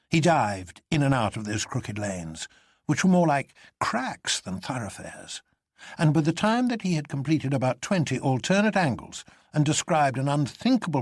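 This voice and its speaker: Expressive male storyteller, slightly husky. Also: mid-range voice, no room sound, studio quality. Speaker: narrator reading a novel. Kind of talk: dramatic literary audiobook narration.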